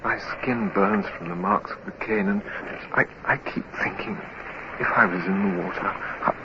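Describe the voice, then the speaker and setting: An actor playing a frightened, despairing young naval midshipman in a radio drama, speaking hesitantly in a hushed, trembling voice. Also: on the low side, sing-song, moderate pace, creaky. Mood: despairing, haunted. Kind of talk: 1950s radio drama acting. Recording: muffled, hissy old radio recording.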